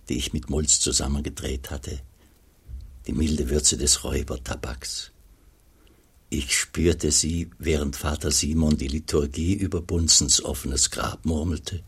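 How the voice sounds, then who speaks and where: An actor playing an old, pious, melancholy abbot in a German radio play, speaking gravely and solemnly as he looks back, with a gravelly, husky voice. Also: extremely low pitch, full character voice. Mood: solemn, wistful. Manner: slow, solemn, measured storytelling.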